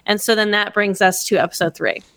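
Smooth-voiced woman recapping, full of ums. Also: medium pitch, steady tone, brisk, fairly bright tone, no echo. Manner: recapping with many ums and uhs. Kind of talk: casual comedy podcast chat.

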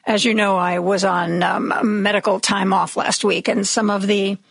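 A woman hosting a radio talk show, raspy from medication, giving chatty, wry news commentary with opinionated asides. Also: medium pitch, little pitch movement, moderate pace, no room sound. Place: radio studio.

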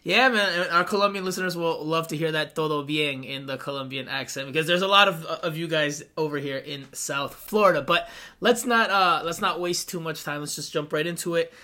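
A man's voice: a little high, a little fast, pushed and bright. A man hosting a soccer podcast, introducing segments and asking direct, upbeat questions. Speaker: podcast host.

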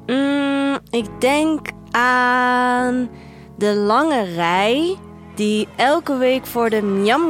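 An actress playing a troubled woman who tells a bleak future tale in an introspective, dramatic monologue for an audio drama. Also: a little high. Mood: wistful. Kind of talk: literary first-person audio drama monologue.

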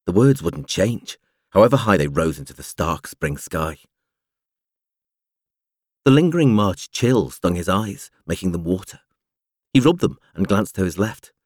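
Low-voiced, expressive male audiobook narrator. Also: no room sound, studio quality. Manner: expressive, measured storytelling delivery. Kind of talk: scripted literary narration.

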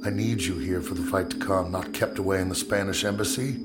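An American actor playing a formal, authoritative, stern Secretary of War in an 1865 historical audio drama. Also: male voice, sits low, fairly even intonation, a little fast, loud music behind the voice, natural voice. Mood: grave, persuasive.

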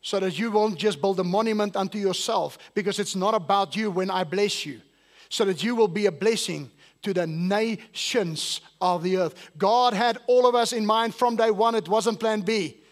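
A man's voice: high voice, normal speed. A male pastor, whose first language is not English, preaching a sermon to his congregation and asking them questions. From South Africa. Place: church service.

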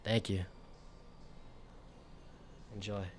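A man hosting a radio show, chatting casually in a laid-back, slangy way with hesitant pauses. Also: lowish voice, flat delivery, soft background music. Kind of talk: casual radio sign-off chat.

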